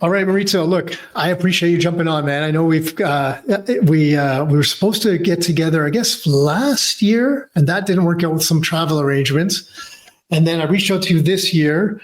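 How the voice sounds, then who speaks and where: A man's voice: fairly high pitch, moderate pace. Rambling, friendly podcast host man. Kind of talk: casual interview talk.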